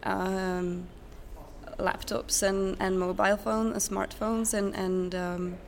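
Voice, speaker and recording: A young woman speaks as an interview guest. Her voice is medium in pitch, and she phrases things thoughtfully, pausing and searching for words. A faint noise from a conference venue sits in the background. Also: little pitch movement, vocal fry. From Czech Republic. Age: young adult. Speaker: interview guest, recent graduate. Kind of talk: conversational interview answers. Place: conference center. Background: ambient noise, distant sound check.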